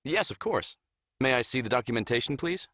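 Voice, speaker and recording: A man playing the polite but firm pilot of a small transport ship in a visual novel game, measured and businesslike. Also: medium pitch, expressive, fairly bright tone, no echo. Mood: polite, formal.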